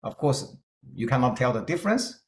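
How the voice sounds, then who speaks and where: A middle-aged man from China with a Chinese-Scottish accent, giving an earnest keynote talk with slides and pausing on fillers. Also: medium pitch, big pitch swings, echoey room, a little hiss or hum. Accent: Chinese-Scottish English. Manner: earnest, plain-spoken, with hesitant fillers. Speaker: keynote speaker.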